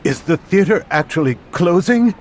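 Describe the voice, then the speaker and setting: An actor playing a loyal young friend from a bazaar in a game, animated and quick to get indignant. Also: male voice, sits high, a little hiss or hum.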